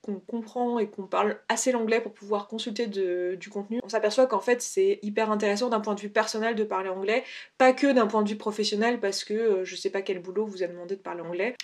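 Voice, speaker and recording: A woman speaks in a medium-pitched voice. She talks like a video blogger sharing advice, casual and unscripted. Her delivery is conversational and explanatory, often rewording a point as she goes. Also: steady tone, fast talker. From France. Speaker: video blogger giving language-learning tips.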